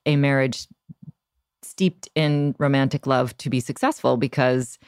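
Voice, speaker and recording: Woman, podcast host, gravelly fry, reflective phrasing, conversational interview, dry close sound. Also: very deep voice, steady tone, unhurried, darkish tone, no echo.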